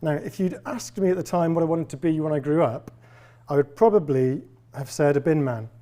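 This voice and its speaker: Man in his forties, faint Welsh accent, slightly high and warm-toned; relaxed, wry lecturer. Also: fairly quick. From Wales. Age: 40s. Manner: conversational, wry and self-deprecating. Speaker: professor giving a lecture. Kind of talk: public lecture. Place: lecture hall with a live audience.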